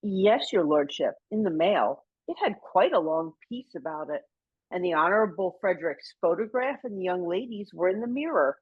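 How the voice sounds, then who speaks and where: Radio comedy role: servile, tactful steward played by a woman, hesitant and expressive in pitch, slightly dull sound. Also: normal speed, slightly rough. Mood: deferential. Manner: obsequious, hesitant, careful politeness. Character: deferential, flattering club steward serving his lordship. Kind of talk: radio play comedy acting.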